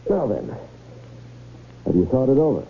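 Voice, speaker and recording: An American actor playing a smooth, wily con man in old-time radio drama, persuasive and teasing in florid, formal phrases. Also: mid-range voice, sing-song, moderate pace, a little hiss or hum. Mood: eager pitching. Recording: muffled old radio broadcast, faint music.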